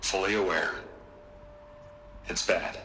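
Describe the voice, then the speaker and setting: An actor playing an anxious, evasive middle-aged corporate tycoon in a dramatic game cutscene, hesitant and defensive. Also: male voice, sits low, steady tone, slow, pushed and bright, a little hiss or hum, heavily acted.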